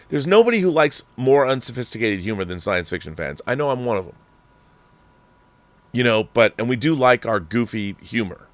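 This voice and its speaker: A man hosting a show, ranting in heated, profane commentary and reading viewer comments. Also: dry recording. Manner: heated, profane, lively pitch swings. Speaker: show host.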